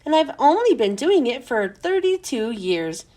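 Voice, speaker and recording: A woman's voice: high-pitched, very animated, a little slow, dark tone. A woman voicing a proud, eager, studious schoolgirl in a children's storybook. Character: proud, eager schoolgirl who loves rote work.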